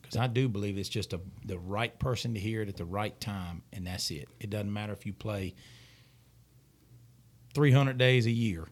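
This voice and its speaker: Podcast cohost guy, low voice, thinking out loud. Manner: reflective, reasoning aloud. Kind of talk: casual podcast conversation.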